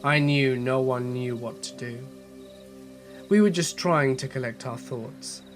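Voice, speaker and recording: A man narrating horror stories as a channel host, reading in a measured, steady way with a voice slightly off from a cold. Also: medium pitch, faint music behind. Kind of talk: scripted horror story narration.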